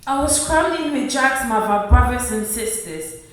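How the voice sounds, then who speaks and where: A woman's voice: on the high side, expressive, moderate pace, close to an everyday voice. A woman acting in a radio play as a poor, worn-down, protective mother who speaks plainly and pleadingly. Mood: regretful. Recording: very echoey room sound.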